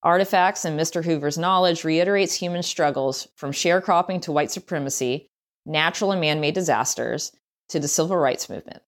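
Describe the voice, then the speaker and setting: A woman's voice: deep voice, a little fast. A woman reading a scripted travel essay aloud as narration. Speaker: essay reader.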